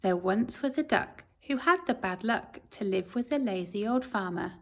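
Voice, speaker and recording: A woman teacher reading a picture book aloud to her pupils in an empty classroom, with expressive storytelling. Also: mid-range voice, moderate pace.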